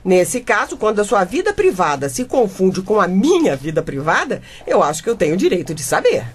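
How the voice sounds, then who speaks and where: A woman's voice: mid-range voice, very animated, fairly quick, husky. A woman playing a suspicious, exasperated middle-aged wife and mother in a comedic radio drama, questioning in a theatrical way. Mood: jealous, accusing.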